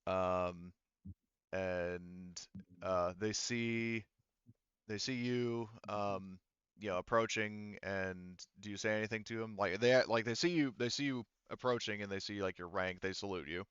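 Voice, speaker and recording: A man with a low, clean voice narrates a tabletop roleplaying session. His delivery is casual and halting, with pauses as he works things out. The sound is close and dry but slightly dull, like online voice chat. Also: fairly bright tone, clear, resonant tone, no echo. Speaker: game master. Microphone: online voice chat microphone.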